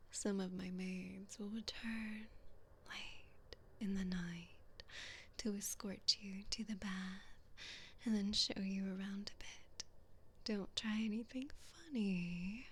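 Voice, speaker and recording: A woman's voice: mid-range voice, slight background noise. A woman playing a cruel, mocking evil queen in an ASMR roleplay, whispering breathily in a condescending, commanding way. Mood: smug, controlling. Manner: slow, condescending, commanding, possessive.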